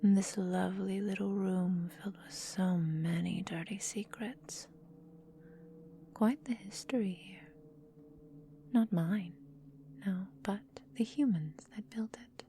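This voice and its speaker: Slow whispering female vampire, acted by a woman. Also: mid-range voice, dark tone, a little hiss or hum. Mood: sinister, teasing. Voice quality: breathy whisper. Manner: slow, teasing, menacing, drawn-out phrasing. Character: possessive, cruel, seductive female vampire mistress. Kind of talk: whispered ASMR roleplay.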